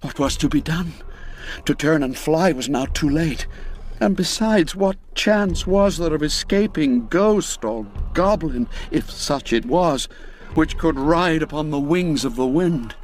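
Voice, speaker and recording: A man narrating a classic ghost story as a dramatic reading, measured and full of deliberate pauses. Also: on the high side, lively intonation.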